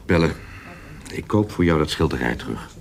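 Very deep-voiced, resolute man. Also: expressive, normal speed, a crowd in the background, exaggerated voice. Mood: reassuring, resolute. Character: steady, reassuring male lover and adventurer. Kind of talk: Dutch historical audio drama acting. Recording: clear background noise and clicks.

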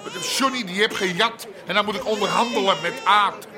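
An actor playing a bullying old-style crime boss in a Dutch radio drama, coarse and demanding. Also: male voice, high-pitched, a little fast, a crowd in the background, natural voice. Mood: exasperated.

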